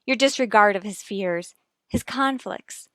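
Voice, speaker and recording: A woman's voice: medium pitch, a little slow, bright, forceful tone, no room sound. An actress playing an earnest, anxious but determined wife and mother in a 1950s radio soap, formal and firm. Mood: firm, defiant.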